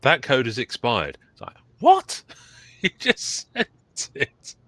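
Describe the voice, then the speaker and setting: Throaty English man, lively chatty voice. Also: medium pitch, slow, no room sound. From England. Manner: chatty, rambling, joking. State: getting over a cold, throaty. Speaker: podcast co-host. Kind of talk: casual live-stream chat.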